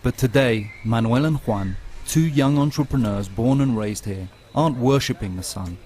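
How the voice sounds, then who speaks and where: A man narrates a documentary from a script. His pitch sits mid-range. His delivery is steady and even, with faint background noise. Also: normal speed.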